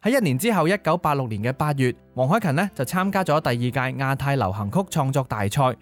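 A man hosts a music nostalgia show. His voice sits at an average pitch as he reads scripted narration, with music playing softly behind him. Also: expressive, fast. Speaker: host of a Cantonese music nostalgia programme. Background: background music.

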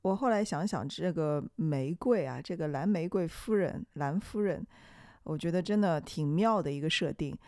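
A podcast host delivers a reflective, conversational monologue. The voice sits at a middle pitch in a dry, close recording. The phrasing is hesitant and musing, with pauses to think. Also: darkish tone, no room sound.

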